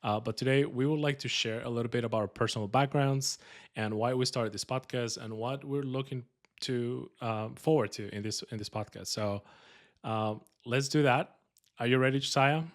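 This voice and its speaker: A man's voice: mid-range voice, steady tone, normal speed. A man from Colombia with a Colombian Spanish accent, hosting a podcast in an upbeat, joking, casual way with many fillers. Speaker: podcast host.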